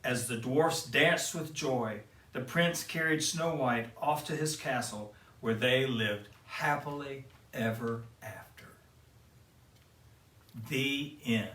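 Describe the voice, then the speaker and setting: Gentle soft-spoken man. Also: mid-range voice, slow pace. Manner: gentle, soft-spoken, drops to a hush at times. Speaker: children's storyteller and singer. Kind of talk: reading a picture book aloud to kids. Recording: roomy sound from a distant mic with guitar music. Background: guitar music.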